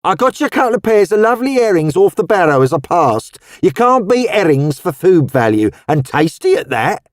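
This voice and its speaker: A man's voice: high voice, very animated, fairly quick, few pauses, no echo, clean studio sound, voice pushed up. A man doing a chirpy old Cockney charwoman. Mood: cheerful, chatty. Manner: chatty, homely, motherly. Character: cheerful wizened little old Cockney charwoman.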